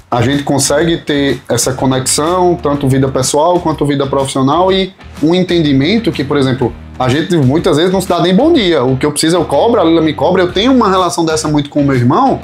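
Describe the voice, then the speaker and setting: A man's voice: fairly high pitch, brisk. A man with a Pernambuco accent from Northeastern Brazil, talking as a podcast guest in a casual, slangy, joking way. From Caruaru, Pernambuco, Brazil. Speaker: podcast guest, business partner in a management firm. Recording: music playing behind the voice. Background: music.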